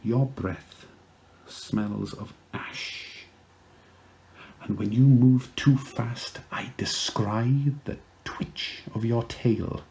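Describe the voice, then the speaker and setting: A man with a Welsh accent reciting poetry in a deliberate, theatrical manner. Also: medium pitch, vocal fry, darkish tone. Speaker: poet.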